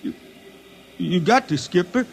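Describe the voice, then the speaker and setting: A man's voice: high voice, expressive, a little slow, creaky, a little hiss or hum, full character voice. An actor playing a stubborn, gaunt old blind spaceman balladeer in a radio drama, with hesitant, stammering, folksy speech. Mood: pleading. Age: older. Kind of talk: 1950s radio drama acting. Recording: vintage 1950s radio recording with music.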